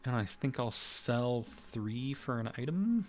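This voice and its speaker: A man's voice: medium pitch, expressive, unhurried, darkish tone. A man streaming a video game, casually thinking aloud and muttering to himself between bursts of exclamation. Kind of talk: casual live gameplay commentary, thinking aloud.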